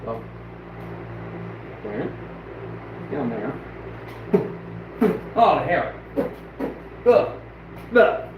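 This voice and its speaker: A hoarse man who is losing his voice, rambling excitedly and bluntly as he hosts a casual outdoor livestream. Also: medium pitch, drawn-out words, pauses often, noisy background. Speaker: trapper and channel host. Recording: very echoey, distant, dull and lo-fi.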